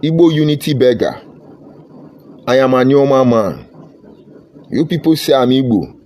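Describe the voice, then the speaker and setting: Man, Nigerian accent, mid pitch, fervent, combative political rant, Pidgin phrases. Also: animated, moderate pace, darkish tone. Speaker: political commentator. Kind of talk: impassioned political monologue.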